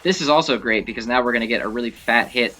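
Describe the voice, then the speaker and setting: A man's voice: mid-range voice, fast talker, bright, forceful tone, slight background noise. A man giving casual, opinionated gameplay commentary, thinking aloud in a conversational way. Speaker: gaming video host.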